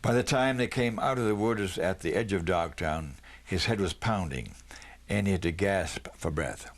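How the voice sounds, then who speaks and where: Male storybook reader, lower-pitched voice, narrating prose with occasional small stumbles. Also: normal speed. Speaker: audiobook reader.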